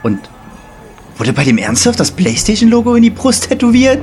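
A man's voice: very high voice, huge pitch swings, moderate pace, a little mellow, exaggerated voice. A man playing a mock-dramatic young adventurer and secret superhero in an amateur comedy audio drama. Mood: startled. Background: music.

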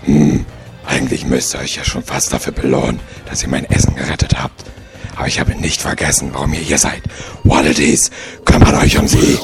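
A man playing a huge, gluttonous, menacing villain boss with a gravelly voice in an amateur audio drama. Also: very deep voice, flat delivery, brisk, music in the background.

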